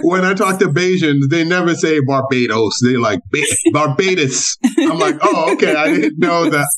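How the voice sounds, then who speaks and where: A man's voice: fairly high pitch, expressive, moderate pace, crowd noise. A man hosting a podcast, chatting casually and telling stories with playful asides and lots of fillers. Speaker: podcast host. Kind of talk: casual interview conversation.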